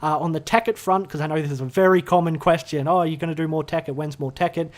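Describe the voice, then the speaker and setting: A young man with a slightly hoarse voice, rambling casually to camera in a gaming channel vlog, with lots of fillers and restarts. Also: on the high side, quick pace. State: slightly hoarse from being sick. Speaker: gaming YouTuber. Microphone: camera mic, talking to camera.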